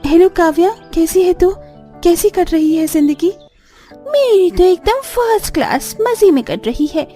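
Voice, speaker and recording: A woman's voice: very high-pitched, expressive, a little slow, dark tone, soft background music, pitched above the performer's own voice. A woman voicing bubbly young women in a cartoon story, gushing and exclaiming. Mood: excited. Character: bubbly, boastful young newlywed village women on phone.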